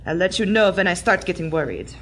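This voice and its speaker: A woman's voice: fairly low pitch, expressive, fast talker, a little hiss or hum, natural voice. An actress playing an imperious, coldly scornful female Nazi agent in an audio drama, commanding and formal in speech. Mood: smug, scornful. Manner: haughty, commanding, formal phrasing.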